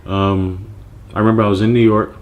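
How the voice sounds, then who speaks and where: A man born in Nigeria speaks with a very low voice, recalling how his clothing brand came about. He talks in a loose, reflective way, pausing on fillers and restarting his sentences as he thinks. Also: flat delivery. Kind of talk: personal story monologue.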